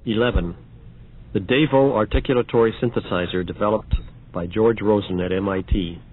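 Low-voiced man on a dull, hissy recording. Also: moderate pace, husky. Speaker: narrator of an audio demonstration. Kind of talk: scripted narration. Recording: dull, noisy old record transfer.

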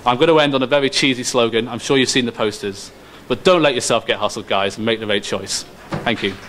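A man's voice: medium pitch, fast talker, noisy background. A young man speaks as a student candidate at a podium mic, with a mid-range voice. He talks earnestly and builds to rhetorical appeals, and faint room ambience sits behind him. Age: young adult. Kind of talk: campaign speech at a podium. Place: dim student union venue, indoors. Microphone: podium mic on stand.

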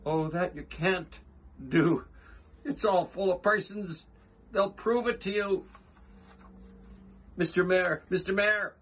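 Storybook elephant, big-hearted and earnest, high husky voice calling out emphatically, acted by a man. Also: takes it slowly, exaggerated voice. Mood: alarmed pleading. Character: big kind earnest elephant, pleading and urgent.

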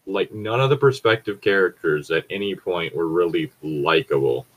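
A man's voice: low voice, moderate pace, a bit bright. A man chatting casually and bluntly, swearing freely and arguing his points. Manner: profane, argumentative. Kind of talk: casual opinionated chat.

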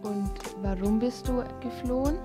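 A woman's voice: fairly high pitch, measured pace, over music. A teenage girl interviewing a guest in a small radio studio, asking friendly, simple questions. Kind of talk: student interview. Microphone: studio mic on a boom arm.

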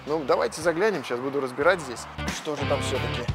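A man's voice: mid-range voice, animated, quick pace, music in the background. Chatty man explaining his car engine. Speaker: car vlogger. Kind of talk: casual car vlog explanation. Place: car service garage, indoors, and outdoors near car.

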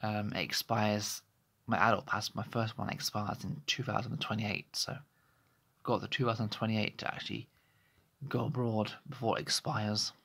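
Gravelly, husky man in his thirties. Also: on the low side, little pitch movement, normal speed, sharp tone. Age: 30s. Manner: hesitant, rambling, many restarts. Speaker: vlogger. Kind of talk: candid personal vlog monologue. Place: small bedroom, indoors. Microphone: phone held close to face.

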